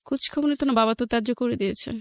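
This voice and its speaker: High-voiced Bangladeshi woman telling her story. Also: racing, no echo, clean studio sound. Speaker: interview guest. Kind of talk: personal life storytelling in an interview.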